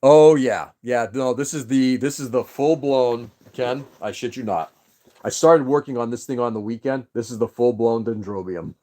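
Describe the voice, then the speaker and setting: Man, first language Greek, casual livestream host, rambling chatty delivery, middle pitch. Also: moderate pace, mellow. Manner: rambling, chatty, greets viewers by name.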